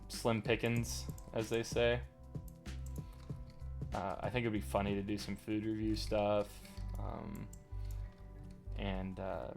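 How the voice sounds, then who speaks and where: Low guy with a gravelly voice, slight stutter, rambly gamer chat, faint music behind. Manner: rambling, halting, many fillers and restarts. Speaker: gaming channel host. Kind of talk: casual commentary while playing. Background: faint lo-fi music and keyboard clicks.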